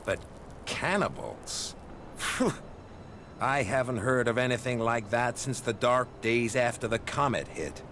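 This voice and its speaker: An actor playing a worldly, wary desert historian in a video game, explaining in a measured way. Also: male voice, mid-range voice, animated, noisy background, close to an everyday voice. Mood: storytelling, intrigued. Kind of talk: expository game dialogue.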